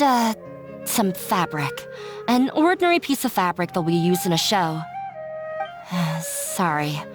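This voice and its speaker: A woman voicing a jumpy, awkward young prop maker in a video game, hesitant and apologetic. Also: mid-range voice. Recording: faint music behind the voice.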